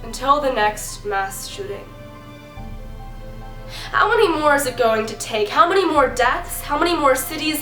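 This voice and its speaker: A young American woman recites spoken-word poetry in a fairly high voice. Her delivery is earnest and dramatic, built on deliberate pauses and repeated phrases. The room adds some echo, and soft music plays behind her. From San Bernardino area, California, United States. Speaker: amateur spoken-word poet.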